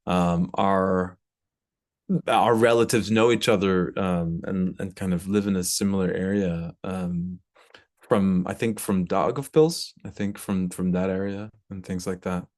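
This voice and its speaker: A man's voice: sits low, steady tone, a little slow, a little mellow. An American man in his thirties talking reflectively in an interview, searching for his words and repeating some for emphasis. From Brooklyn, United States. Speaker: composer and violinist interviewee.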